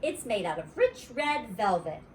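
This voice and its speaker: A woman's voice: medium pitch, very animated, unhurried. A woman voicing a vain, smug rich little schoolgirl in a storybook. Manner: smug, showy. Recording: roomy, distant mic, faint noise.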